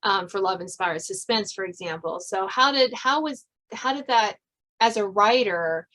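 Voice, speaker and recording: A woman's voice: mid-range voice, lively intonation, normal speed, a bit bright. A woman hosting a casual interview podcast, often rephrasing as she goes. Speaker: podcast host.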